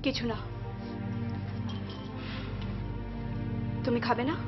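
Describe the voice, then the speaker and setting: Actress as an indignant, high-voiced married woman. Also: fairly even intonation, racing, natural voice. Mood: sulky. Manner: sulky, defiant, sharp retorts. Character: indignant, ashamed married woman resenting her elder sister. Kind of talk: Bengali period drama acting. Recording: dull lo-fi audio with music behind.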